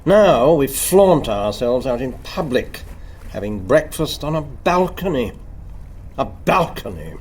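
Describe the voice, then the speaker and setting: An actor in a radio play as a haughty, intense anarchist secretary, speaking in a dry, grave, brooding way. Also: male voice, medium pitch, sing-song, slow, a little mellow, slight background noise. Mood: dry disdain.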